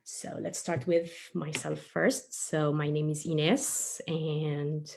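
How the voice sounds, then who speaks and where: A woman's voice: sits low, level tone, slow pace, gravelly, a little mellow, slight background noise. A woman, a preschool teacher and storyteller who talks to children live with playful explanations and questions. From Egypt. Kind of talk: introducing herself and telling a children's story live.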